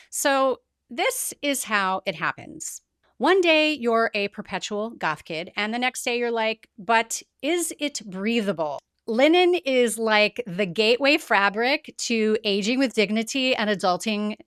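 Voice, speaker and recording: A woman's voice: medium pitch, a bit bright, no echo, studio quality. Chatty woman hosting a podcast. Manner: playful, chatty, conversational. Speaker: podcast host. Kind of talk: casual podcast chat.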